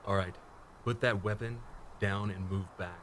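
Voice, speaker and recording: A man's voice: deep voice, fairly even intonation, unhurried, a bit bright, a little hiss or hum. A man playing a weary, wary space commander in a sci-fi radio play, speaking tersely. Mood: alert.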